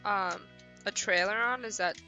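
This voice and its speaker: A woman's voice: medium pitch, normal speed, pushed and bright, highs cut off. A woman on a casual livestream, rambling in a self-deprecating way and at times reading text aloud. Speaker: streamer and host. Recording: music behind the voice.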